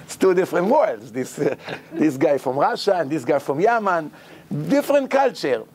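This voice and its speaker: A man with an Israeli accent, a rabbi giving a sermon in lively, broken English, acting out the people in his stories. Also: fairly high pitch, normal speed, husky. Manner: animated, acts out quotes, non-native English phrasing. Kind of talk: storytelling sermon.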